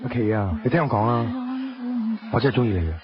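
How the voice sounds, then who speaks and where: An actor with a Hong Kong accent playing a weary, wounded estranged husband who drives a taxi, in a radio drama. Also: male voice, fairly low pitch, fast talker, heavy background noise, natural voice. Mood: pleading. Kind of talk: radio drama acting, with dialogue and reflective narration. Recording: dull lo-fi radio drama audio.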